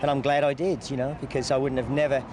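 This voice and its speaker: A man's voice: mid-range voice, little pitch movement, fast. A man in his forties with an English accent, answering casually and hesitantly as he searches for words. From Norwich, England. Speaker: member of the public being interviewed. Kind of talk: casual street interview answers. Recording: noisy outdoors, traffic behind.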